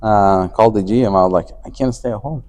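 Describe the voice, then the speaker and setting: A man's voice: on the low side, fast talker, darkish tone. A man from Afghanistan, a company CEO, talking as a guest in a casual interview, with many fillers and restarts. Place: conference venue.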